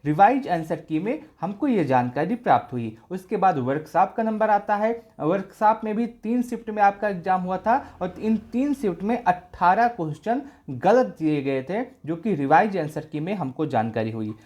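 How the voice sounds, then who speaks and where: A man's voice: high voice, expressive, normal speed, few pauses, darkish tone. Man explaining exam updates step by step. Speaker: exam update video host. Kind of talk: informational explainer to exam candidates.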